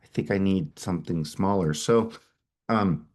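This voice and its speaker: A middle-aged man with a cold giving an energetic webinar sales presentation as a company founder, often repeating words as he restarts. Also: low-pitched, a little slow. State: has a cold, sniffling.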